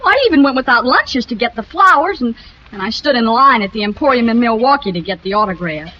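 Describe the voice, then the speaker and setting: A woman's voice: a little high, animated, fast talker. Flustered, girlish teen in old radio. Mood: sheepish, miserable. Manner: gushing, hesitant, girlish. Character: flustered, naive teenage girl who fibbed about a boyfriend. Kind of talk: 1940s radio comedy-drama acting. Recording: dull, hissy old radio recording.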